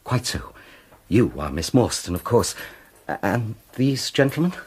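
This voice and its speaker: Fussy, husky, swooping English aesthete. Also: male voice, fairly low pitch, very animated, measured pace, exaggerated voice. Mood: fussy, welcoming. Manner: fluttery, precious, apologetic. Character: nervous, fussy, hypochondriac aesthete gentleman, refined and timid. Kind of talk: radio drama acting.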